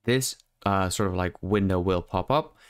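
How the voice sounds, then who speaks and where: A man giving a casual software tutorial voice-over in a loosely improvised, hesitant way, close to the microphone. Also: low voice, moderate pace, clean tone, dry recording. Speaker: tutorial host. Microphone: close mic, screen-recording voice-over.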